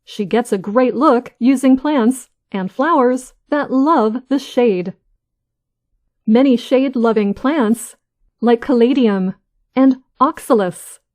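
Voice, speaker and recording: A woman reads a learners' news script with careful, deliberate delivery. Her voice sits somewhat high, and she reads very slowly. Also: a little mellow. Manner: careful, evenly paced delivery. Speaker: news reader for English learners. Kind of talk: slow, clear scripted reading.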